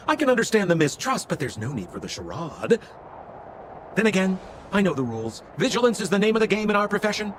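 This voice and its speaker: A man's voice: high voice, a little hiss or hum. A man voicing a slick, theatrical male con-man relic dealer in a video game, with glib, wheedling salesman patter. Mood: ingratiating, scheming.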